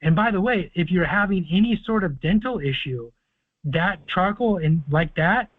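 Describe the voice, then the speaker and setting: A man's voice: sits high, lively intonation, moderate pace. A man hosting a conference call, confidently explaining things at length with plenty of fillers. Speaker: host of a call-in conference line. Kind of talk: casual call-in advice talk. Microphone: phone or conference call. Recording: tinny conference phone line.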